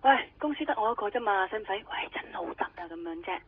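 An actress playing a blunt, offhand office worker with a Hong Kong Cantonese accent, heard through a telephone filter. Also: female voice, medium pitch, lively intonation, quick pace, slightly rough. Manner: casual, curt. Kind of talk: radio drama phone dialogue. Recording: tinny phone line.

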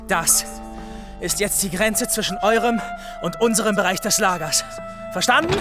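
A man's voice: high-pitched, moderate pace, over loud music, close to an everyday voice. A man playing a bossy, territorial English prisoner of war in a radio drama, barking emphatically. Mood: bossy, stern.

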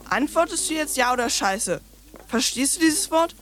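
A woman's voice: high voice, lively intonation, normal speed, a bit bright. A woman playing a brash, foul-mouthed, stubborn young girl in a radio drama, bluntly rude and insistent. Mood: defiant, demanding.

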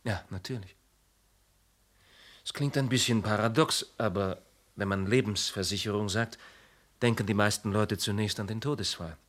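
A wry, low-voiced insurance agent. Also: male voice, moderate pace, long pauses, fairly bright tone. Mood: explaining. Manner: precise, wry, slightly condescending. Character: polished, ironic male insurance agent. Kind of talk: radio play acting.

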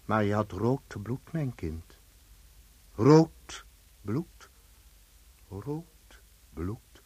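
Slow, sinister paternal official. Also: male voice, on the low side, very animated, a little mellow, breathy edge, no echo, exaggerated voice. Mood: paternal, menacing. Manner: condescending, polite, deliberate. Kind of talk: Dutch radio play acting.

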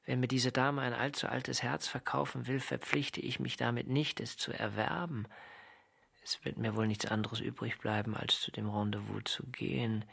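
A man's voice: medium pitch, level tone, moderate pace, vocal fry, a bit bright, breathy edge, full character voice. An actor playing a sardonic, brooding male novelist, talking in a wry, literary way in a radio drama. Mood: deliberating. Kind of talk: radio drama acting and narration.

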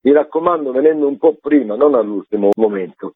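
A man's voice: mid-range voice, animated, brisk, husky, dry recording. A man giving spontaneous answers as a theatre director in a radio interview. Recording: narrow, tinny phone-like line, dry.

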